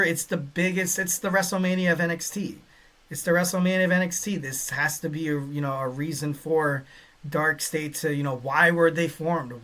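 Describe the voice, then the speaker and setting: Man, young, podcast host, rambling, filler-heavy, slightly high voice. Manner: rambling, many fillers, excitable bursts. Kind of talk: casual solo podcast talk reading listener chat.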